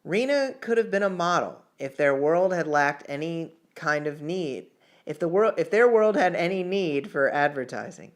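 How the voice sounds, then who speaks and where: A man's voice: on the high side, lively intonation, moderate pace. A man narrating fiction in an audiobook style, reading aloud with the odd small stumble. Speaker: author reading own novel chapter.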